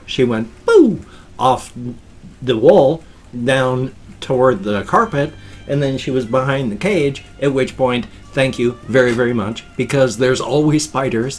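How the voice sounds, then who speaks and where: A man in his fifties with a stuffed-up, wheezy voice, rambling casually to a webcam in a small room at home. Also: mid-range voice. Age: 50s. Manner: animated, gestures constantly with both hands. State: congested and wheezy. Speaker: vlogger. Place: small home room full of toys and collectibles. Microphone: webcam framing at desk.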